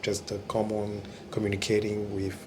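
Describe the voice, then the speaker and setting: A man from the Democratic Republic of Congo, a pastor answering interview questions in a hesitant way with many pauses. His is Kiluba. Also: lowish voice, completely flat delivery, noisy background. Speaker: pastor, church regional staff.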